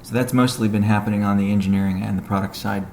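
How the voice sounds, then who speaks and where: A man with a low, creaky voice delivers a technical business update on a conference call. His delivery is measured and halting, with little melody. The sound is roomy and echoey. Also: fairly even intonation, darkish tone. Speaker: company executive giving update. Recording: echoey room, faint background noise.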